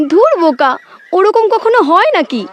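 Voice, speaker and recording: A woman voicing an affectionate, reassuring grown-up in a cartoon, with a drawn-out delivery. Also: extremely high pitch, sing-song, heavily acted. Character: affectionate, reassuring adult encouraging a child. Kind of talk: cartoon voice acting. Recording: faint background noise.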